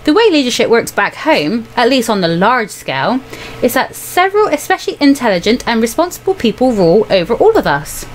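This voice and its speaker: A woman with a high, very lively voice reads a visual novel aloud for her let's play. She slips between mock-dramatic narration and casual, playful commentary. There is faint noise in her home recording. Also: a little fast, rough recording. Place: indoor room at home.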